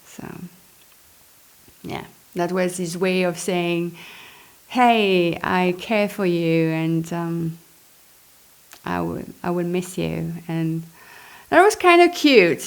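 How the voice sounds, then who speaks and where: Female author-narrator, lowish pitch, expressive memoir read with chatty asides. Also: slow, gravelly, pauses often, clear, resonant tone. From Belgium. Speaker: author reading and commenting on own memoir.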